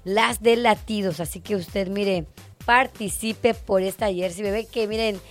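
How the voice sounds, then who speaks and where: A woman's voice: mid-range voice, a little slow, soft background music. A woman co-hosting a radio show, teasing and laughing, calling listeners "bebé". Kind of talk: joking radio banter.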